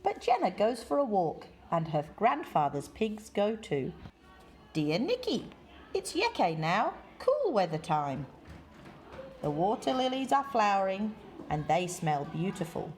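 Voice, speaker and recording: Woman reading a children's story, very expressive pitch. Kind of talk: read-aloud children's story.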